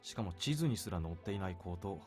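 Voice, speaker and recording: Bored visual-novel guard: extremely quick, slightly low, dry, blunt skeptic. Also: male voice, lively intonation. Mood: dismissive. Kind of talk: visual novel anime-style voice acting. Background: game background music.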